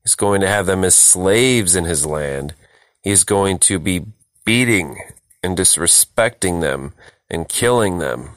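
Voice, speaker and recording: A man's voice: low voice, lively intonation, slow pace, fairly bright tone, dry recording. A man leading a verse-by-verse Bible study, reading scripture and explaining it emphatically, repeating phrases for stress. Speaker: Bible teacher, host.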